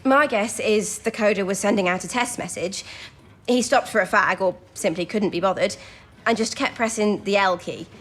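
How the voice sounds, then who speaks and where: An actress playing a keen, quick-witted young codebreaker in a wartime drama, eager and animated. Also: female voice, medium pitch, lightly acted. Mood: brisk, friendly. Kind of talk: period audio drama acting.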